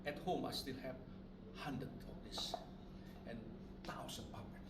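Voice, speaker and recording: A man's voice: medium pitch, fairly even intonation, measured pace. Indonesian man demonstrating, distant in noisy room. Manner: short phrases in simple non-native English. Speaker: puppet maker giving a demonstration. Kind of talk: informal craft demonstration to visitors. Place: roomy puppet studio. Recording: roomy, distant mic, loud background noise.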